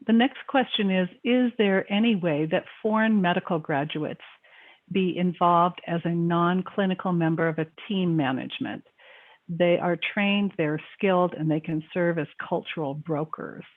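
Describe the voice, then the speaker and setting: A woman with a fairly low voice moderates an online panel. She reads out audience questions and adds her own remarks in a steady, measured way. Her voice comes through thin and narrow, like a phone line.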